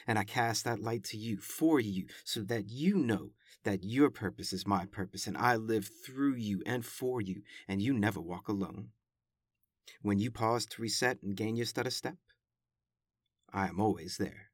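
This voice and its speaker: A male podcast host talking casually, then reading his spoken-word poem in a calm, reflective, rhythmic way. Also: on the low side, moderate pace, dry recording, clean studio sound.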